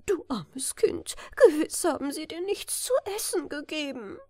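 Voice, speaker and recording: Woman as high-pitched wailing queen mother. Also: lively intonation, measured pace, no echo, clean studio sound. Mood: pitying. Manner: wailing, pitying. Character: doting, lamenting queen mother fussing over son.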